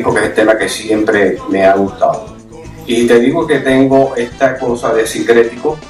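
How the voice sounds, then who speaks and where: Older Cuban man, hesitant, low voice. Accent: Cuban Spanish. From Cuba. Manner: humble, hesitant, many fillers. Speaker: painter speaking at an event. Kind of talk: public talk with hesitations. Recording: echoey room from far off, music behind.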